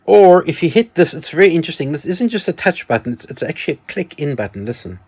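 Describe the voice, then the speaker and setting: Male video host, slightly high pitch, very animated intonation; chatty, unscripted show-and-tell with musing asides. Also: quick pace, husky. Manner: chatty, musing aloud with self-corrections. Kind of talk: casual show-and-tell product demonstration.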